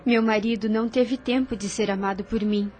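An actress playing a gentle but resolute young heiress who rejects a suitor in a radio drama. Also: female voice, a little high, fairly even intonation, fairly quick, faint music behind, close to an everyday voice. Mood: defiant.

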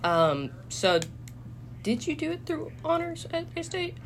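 A woman's voice: sits high, sing-song, slow. A young woman hosting a casual interview, laughing often and teasing her guest. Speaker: host.

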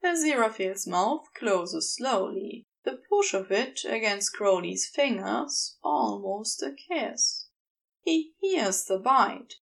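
Slow, creaky-voiced woman narrating fiction. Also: a little high. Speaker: amateur audiobook narrator. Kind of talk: scripted fiction narration, intimate storytelling.

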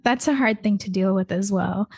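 A woman's voice: medium pitch, moderate pace, vocal fry, darkish tone, breathy edge, no room sound, studio quality. A woman in her twenties from Michigan, speaking earnestly in casual conversation with many "like" fillers. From Michigan, United States.

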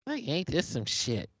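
A woman in her forties co-hosting a podcast, chatting with a slow wry drawl. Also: low-pitched, darkish tone, husky, no room sound. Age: 40s. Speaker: podcast co-host. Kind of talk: casual group chat. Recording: dry dull lo-fi sound.

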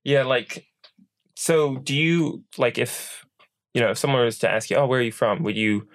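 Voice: mid-range voice, moderate pace. A young podcast host asking loose, friendly questions in a casual chat, laughing often.

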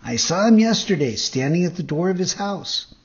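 A man's voice: high voice, lively intonation, normal speed, muffled recording, pitched above the performer's own voice. A man voicing a sly, courteous, persuasive lame fox in a storybook reading. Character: sly, lame male fox swindler, smooth-talking.

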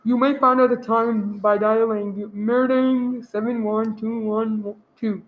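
A woman playing a courteous, formal telephone clerk in a radio play, speaking in a measured, official way. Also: fairly high pitch, steady tone, narrow, tinny audio. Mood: brisk, polite.

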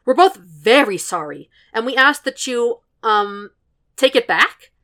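A woman's voice: fairly high pitch, big pitch swings, normal speed, voice pushed up. A woman doing a brash, eager young man. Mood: earnest. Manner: eager, rising, loud bursts.

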